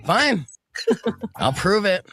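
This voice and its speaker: A man playing a woman who loudly fakes pleasure in a diner, with breathy, rising moans and a very high voice. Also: sing-song, drawn-out words, heavily acted. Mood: moaning. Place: podcast studio.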